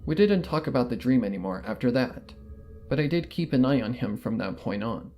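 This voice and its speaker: A man narrating a story in the first person in a steady, measured storytelling manner. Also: medium pitch, soft background music.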